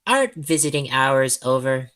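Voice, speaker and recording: A man's voice: medium pitch, big pitch swings, moderate pace, fairly bright tone. A man playing a sulky, self-deprecating, clumsy young male student in an audio drama, whiny and hesitant. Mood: sheepish, grumbling.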